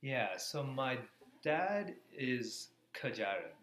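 American man, bright voice in an echoey room. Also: mid-range voice, very animated, takes it slowly. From Florida, USA. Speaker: interview guest, cardiology fellow. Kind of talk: casual interview answers. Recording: echoey room, faint background noise.

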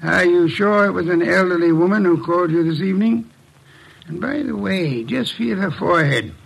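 An actor playing a shrewd, self-assured detective in 1940s radio style, speaking in a pompous, precise, deliberate way. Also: male voice, sits high, gravelly, breathy edge, full character voice, rough recording. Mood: commanding, deductive. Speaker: lead detective. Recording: vintage radio drama recording.

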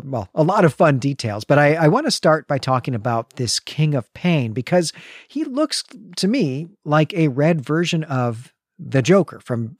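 A man's voice: medium pitch, no echo, studio quality. A man in his forties hosting a conversational literary discussion, analytical and given to thoughtful asides. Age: 40s. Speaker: podcast host.

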